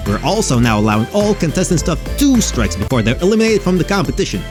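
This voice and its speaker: A slick game show host from an audio drama, played by a man. His voice is fairly high and very animated, with showy, sardonic mock sympathy. Music plays behind him. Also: quick pace.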